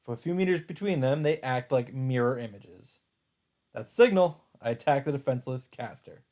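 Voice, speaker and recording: A man's voice: mid-range voice, expressive, normal speed, a little mellow. A man hosting a let's play, reading visual novel narration aloud in a dramatic storytelling manner. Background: game music.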